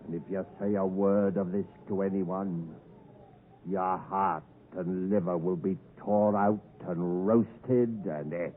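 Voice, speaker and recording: An actor playing a fierce, desperate escaped convict in chains, threatening and commanding, in 1950s radio drama style. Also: male voice, deep voice. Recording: tinny, noisy 1950s radio broadcast.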